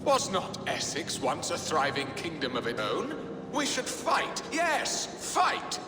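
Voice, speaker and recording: A man's voice: on the high side, wildly swooping pitch, slow, over music, full character voice. A man playing a jovial, blustering English nobleman in a video game, with a florid, theatrical way of talking. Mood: blustering, indignant. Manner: florid, theatrical, swinging from grand to petulant. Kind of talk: dramatic video game acting. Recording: long hall reverb, clear background noise.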